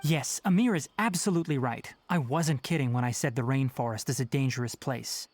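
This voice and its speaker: An actor playing a calm, knowledgeable young forest ranger in a video game, speaking in a measured, instructive way with authority. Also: male voice, mid-range voice, dry recording, faint music behind, natural voice. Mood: serious, considered. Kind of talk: English video game voice acting.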